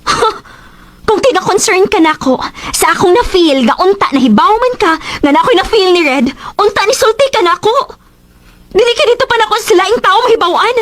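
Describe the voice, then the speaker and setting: A woman's voice: very high voice, a little fast. An actress with a Cebuano accent playing an emotional, lovesick young woman in a radio drama. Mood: hurt, accusing. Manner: emotional, expressive. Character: Sylvia, hurt, lovesick young woman in love with her best friend.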